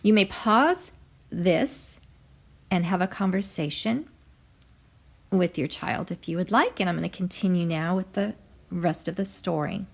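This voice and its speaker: A woman teacher reading a story aloud to young children, expressive and carefully enunciated, pausing to explain. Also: fairly low pitch, gravelly.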